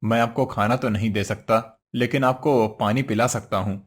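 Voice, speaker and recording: A man's voice: mid-range voice, steady tone, moderate pace, lightly acted. A man voicing a poor, simple woodcutter husband in a cartoon story, earnest, meek and fretful. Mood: kindly, earnest. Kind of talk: Hindi cartoon story voice acting.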